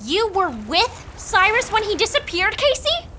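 A woman's voice: extremely high pitch, lively intonation, a little slow, pushed and bright, full character voice. A woman playing a headstrong, indignant teenage girl in an audio drama, emotional and questioning.

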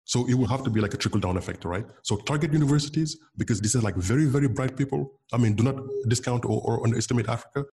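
A man's voice: mid-range voice, racing, few pauses, dark tone. A man from Senegal whose first language is Wolof, speaking as an interview guest in earnest casual talk, with frequent restarts and repeated words. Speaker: guest, Bitcoin developer.

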